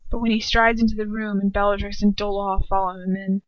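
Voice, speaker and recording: A woman's voice: medium pitch, little pitch movement, brisk, no room sound. A woman narrating a first-person story as an amateur reader, stumbling now and then and correcting herself. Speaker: amateur fan fiction reader. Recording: dull, lo-fi home recording.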